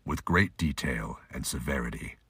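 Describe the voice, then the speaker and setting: A man's voice: very deep voice, lively intonation, moderate pace, sharp tone, breathy edge, no echo. A man giving scripted, audiobook-style narration for an audio drama.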